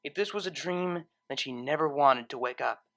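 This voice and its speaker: A woman reading a story aloud as narrator, in expressive scripted narration. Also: sits low, normal speed.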